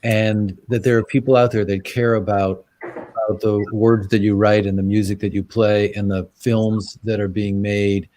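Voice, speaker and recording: Brief: low-pitched male host on a video call, dry close sound, halting and warm in thanks. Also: little pitch movement, no echo. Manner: halting, grateful, repeats words while starting sentences. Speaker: event host. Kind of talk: live-streamed conversation.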